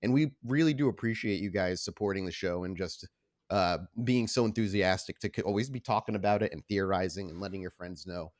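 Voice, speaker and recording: A man with a fairly low voice hosts a tabletop roleplay podcast. He talks informally and conversationally, giving casual show announcements as the game's storyteller. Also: brisk.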